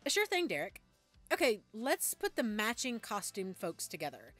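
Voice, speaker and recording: A woman acting in an audio roleplay as an upbeat friend who organizes everyone in a brisk, friendly way. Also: mid-range voice, huge pitch swings, fairly bright tone, soft background music. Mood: upbeat, organizing. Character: upbeat, organizing young woman judging party games.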